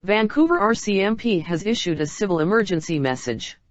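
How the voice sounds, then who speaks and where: A woman's voice: medium pitch, animated, brisk, lo-fi sound, very noisy. A woman reading a scripted emergency broadcast announcement in a formal, instructional manner.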